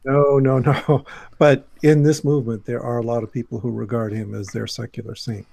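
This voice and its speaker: A man speaking as a guest in an opinionated discussion, in a deliberate, emphatic conversational manner. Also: mid-range voice, flat delivery, creaky, dark tone, dry recording. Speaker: guest commentator.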